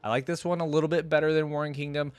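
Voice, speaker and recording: A man's voice: a little high, level tone, rapid-fire, smooth, no room sound. A man giving informal, rambling and opinionated commentary in a casual reaction video. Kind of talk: casual reaction commentary, partly reading aloud.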